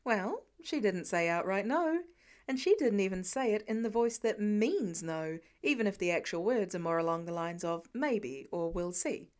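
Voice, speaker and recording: A woman's voice: mid-range voice, animated, normal speed, darkish tone. A woman reading a scripted story aloud as an audiobook narrator.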